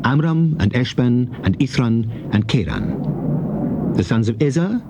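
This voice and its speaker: A man narrating scripture as a scripted dramatic reading, reciting deliberately in short, measured phrases on an old cassette recording. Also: mid-range voice, animated. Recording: noisy old cassette tape transfer.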